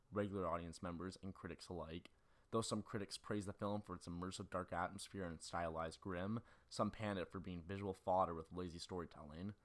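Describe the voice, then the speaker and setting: A man's voice: low voice, fairly bright tone. A man in his early twenties narrating a scripted film critique as a video essayist. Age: early 20s.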